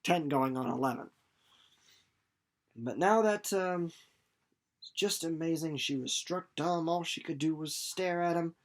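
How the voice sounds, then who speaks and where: A man's voice: fairly high pitch, unhurried, pauses often. A young man giving a casual, informal book recap, playful and rambling off into asides. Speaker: book reader and presenter.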